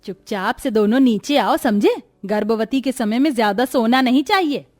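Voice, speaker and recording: A woman's voice: a little high, normal speed, darkish tone, no room sound, clean studio sound, lightly acted. A woman voicing a bossy older mother-in-law in a cartoon story, curt and scolding. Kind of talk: acted Hindi cartoon moral story.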